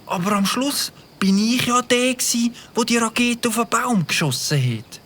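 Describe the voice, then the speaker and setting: A nervous young boy, voiced by a woman hesitates and stammers in a Swiss German accent. His speech is slow and careful. The delivery suits a children's audio drama. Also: on the high side, expressive, fairly bright tone, slight background noise.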